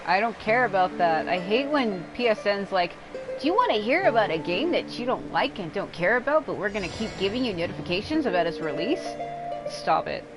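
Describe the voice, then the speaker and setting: A woman doing casual, rambling livestream commentary while playing a video game, informal and quick to exclaim at what happens. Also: medium pitch, lively intonation, low-quality recording. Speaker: game streamer. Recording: game music behind the voice. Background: video game music.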